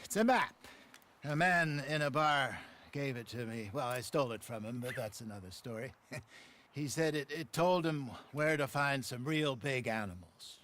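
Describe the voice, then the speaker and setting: An actor playing an older outlaw hunter in a Western video game, proud and wry, with a folksy way of talking. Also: male voice, medium pitch, moderate pace, a bit bright, lightly acted. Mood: wry, grateful. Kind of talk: video game dramatic acting. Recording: clear noise of outdoor game ambience.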